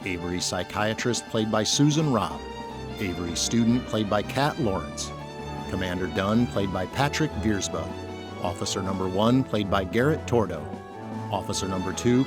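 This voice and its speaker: A male narrator with a fairly deep voice reads scripted narration for an audio drama. Music is clearly audible behind him throughout.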